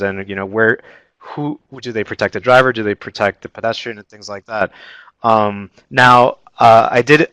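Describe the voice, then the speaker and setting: A man's voice: mid-range voice, bright, forceful tone, clear, resonant tone, dry recording. A man moderating a panel, asking long rambling questions full of fillers. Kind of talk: panel discussion.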